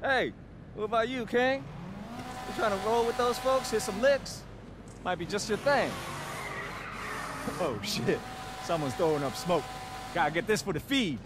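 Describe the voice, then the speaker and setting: An actor with an American accent playing a flamboyant, chatty young car-meet regular in a video game, with exuberant, drawn-out showman delivery. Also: high-pitched, animated. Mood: casual. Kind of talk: video game cutscene acting. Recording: noisy car meet ambience.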